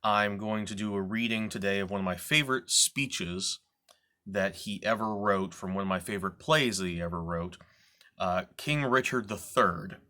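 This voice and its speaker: A man's voice: fairly low pitch, moderate pace, bright, forceful tone. A man who works as an actor, casually introducing a dramatic reading to his viewers.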